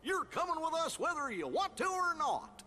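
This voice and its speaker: Squawky, high-pitched cartoon duck. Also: male voice, sing-song, moderate pace, pushed and bright, big-room sound, a little hiss or hum, full character voice. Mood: bossy, urgent. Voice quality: squawky, garbled quacking voice. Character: squawking, bossy, hot-tempered male cartoon duck sidekick.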